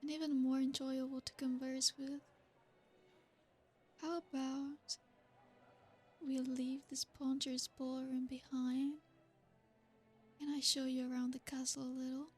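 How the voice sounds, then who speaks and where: A woman voicing an ancient, possessive royal vampire in an ASMR roleplay. She speaks slowly and seductively, with soft whispered asides. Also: sits high, level tone, soft background music. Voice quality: breathy, soft-spoken. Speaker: ASMR creator.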